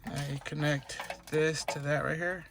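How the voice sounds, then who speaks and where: Higher-voiced man, halting DIY tutorial, noisy recording. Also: little pitch movement. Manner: halting, step-by-step, repetitive instruction. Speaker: DIY car tutorial presenter. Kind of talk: hands-on how-to narration, unscripted. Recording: noisy amateur recording with clicking.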